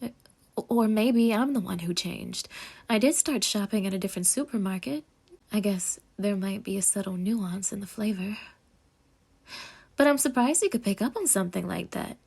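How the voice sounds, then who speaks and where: A woman playing a sweetly possessive, obsessed girlfriend in an intimate roleplay audio, coaxing and dramatic with breathy asides. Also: medium pitch, normal speed, a little mellow. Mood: hurt, pleading. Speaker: ASMR voice actor.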